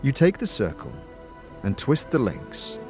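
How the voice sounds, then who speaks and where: A man narrating a scripted fantasy audio drama in a measured, dramatic way. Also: mid-range voice, wildly swooping pitch, over music.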